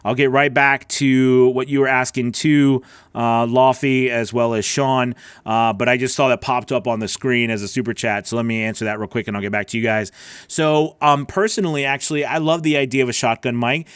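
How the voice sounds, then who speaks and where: A man's voice: mid-range voice, steady tone, fairly quick, smooth, dry recording. A man hosting a casual livestream Q&A as a voice-over coach, rambling with many fillers and restarts.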